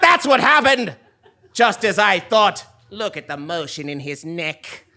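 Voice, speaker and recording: A performer acts out a proud royal tax collector in a comic audio play, recorded live on a theatre stage. The delivery is bright and forceful, unhurried yet swooping, full of scornful bluster. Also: medium pitch, very animated. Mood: indignant, menacing.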